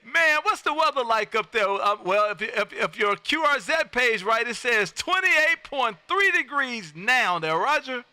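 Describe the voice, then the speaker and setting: Very bright, high-voiced radio man. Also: sing-song, measured pace, hardly any pauses, clear, resonant tone. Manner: exuberant, loud, folksy. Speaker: ham radio operator hosting a livestream. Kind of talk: animated on-air radio contact chat. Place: home radio room.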